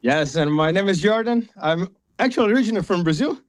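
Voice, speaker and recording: A friendly man from a worship team introducing himself in a casual group chat, his voice a touch high. He laughs easily as he talks. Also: expressive, fast. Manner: friendly, laughs while talking.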